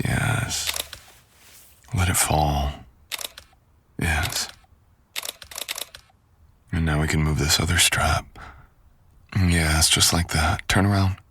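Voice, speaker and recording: Man voicing a very deep, gravelly seductive stalker. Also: pushed and bright, exaggerated voice. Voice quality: gravelly, breathy.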